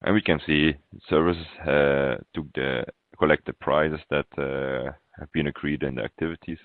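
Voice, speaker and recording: Phone-like audio, male software trainer, extremely low voice, halting step-by-step webinar walkthrough. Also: steady tone, no echo. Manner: halting, pausing mid-phrase. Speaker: software consultant presenting a webinar demo.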